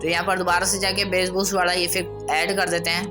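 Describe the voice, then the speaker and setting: A man's voice: sits high, little pitch movement, fast talker. A man giving an informal, instructional screen tutorial in a casual way. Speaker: audio editing tutorial presenter. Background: music.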